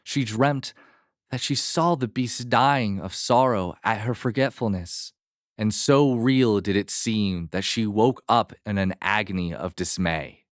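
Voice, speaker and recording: A man narrating a fairy tale as a storyteller, in a measured, expressive manner. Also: on the low side, no echo, studio quality.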